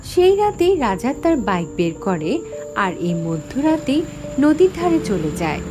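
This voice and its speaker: A woman's voice: medium pitch, sing-song, a little slow, warm tone, faint music behind. A woman voicing a wistful young village woman, narrating a scripted cartoon story with dramatic expression. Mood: suspenseful, wistful. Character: Nina, wistful young village woman recalling lost childhood friend.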